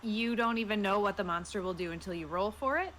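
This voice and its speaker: A woman's voice: medium pitch, quick pace. A woman chatting casually as a player in a tabletop game. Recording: clearly noisy room recording.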